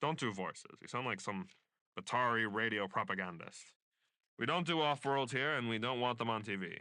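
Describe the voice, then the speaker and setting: A man's voice: mid-range voice, normal speed, sharp tone, dry recording, close to an everyday voice. A man playing a pompous, bigoted state censor in a dystopian radio play, haranguing and scornful. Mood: indignant. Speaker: voice actor.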